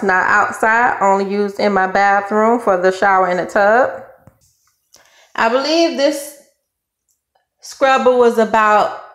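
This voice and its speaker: High-voiced Southern woman in a roomy bathroom. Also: fairly bright tone. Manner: informal, folksy, conversational. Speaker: vlogger reviewing a cleaning gadget. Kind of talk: casual product review chat. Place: indoors in a home bathroom. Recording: roomy echo of hard-walled room.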